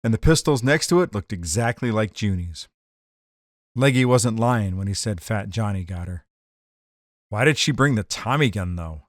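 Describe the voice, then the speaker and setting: A man's voice: lowish voice, expressive, normal speed, dry recording, studio quality, natural voice. A man playing a tough, wisecracking gangster in a 1940s noir radio drama, with slangy hard-boiled delivery. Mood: wry narration. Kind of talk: noir detective radio drama acting and narration.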